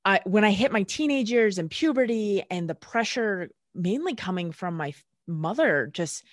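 A woman's voice: mid-range voice, animated, normal speed, dry recording, studio quality. A woman guest speaking candidly in casual conversation, blunt and emphatic, swearing freely. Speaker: podcast guest.

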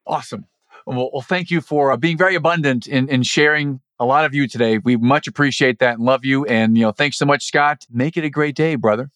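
A man's voice: mid-range voice, brisk, a bit bright, no echo, clean studio sound. A man hosting a podcast interview, wrapping up with appreciative words for his guest. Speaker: podcast host and coach. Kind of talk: casual interview talk.

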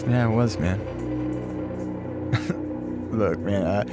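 A man's voice: on the low side, takes it slowly, loud music behind the voice. A man acting a laid-back, chummy new-age yoga teacher in his late twenties in a radio drama, slangy and effusive. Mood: uneasy, subdued. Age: late 20s.

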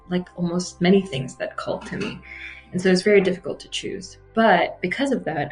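A woman in her thirties, from Iraq and raised in Abu Dhabi, telling her career story in a measured, reflective way. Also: lowish voice, creaky, poor audio. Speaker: architect interviewee. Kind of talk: personal testimonial.

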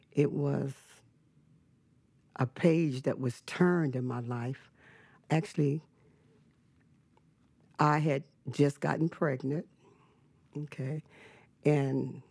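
An older woman from California with a low, gravelly voice, recorded dry and close with no room sound. She speaks as a guest, telling her life story slowly and reflectively, pausing often. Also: darkish tone, no echo. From California, United States. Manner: slow, reflective, with frequent pauses and fillers. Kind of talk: personal storytelling in an interview.

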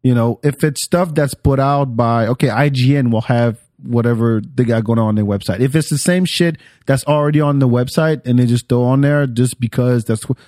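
A man's voice: medium pitch, normal speed, few pauses, a little mellow, no echo. Opinionated man chatting, close dry sound. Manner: opinionated, slangy, swearing. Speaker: podcast host. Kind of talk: casual chat.

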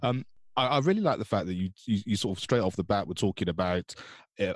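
A man hosting a podcast, asking relaxed, chatty questions. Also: lowish voice, no room sound, studio quality. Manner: slow dramatic intro reading, then relaxed chatty questions. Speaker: podcast host. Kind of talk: scripted intro then casual interview.

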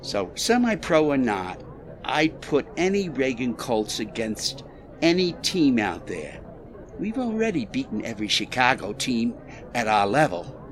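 An actor playing a proud, defensive club boss in a 1920s audio drama, streetwise and combative, sliding between bluster and false politeness. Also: male voice, mid-range voice, sing-song, unhurried. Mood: boastful, defensive. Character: defensive tough male Chicago athletic club boss, slippery and proud. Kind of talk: audio drama period dialogue.

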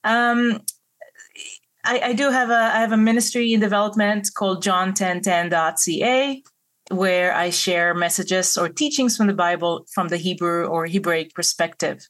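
A woman's voice: mid-range voice, normal speed, fairly bright tone. A middle-aged woman from Israel, a guest on a podcast interview who speaks earnestly, with frequent hesitant fillers.

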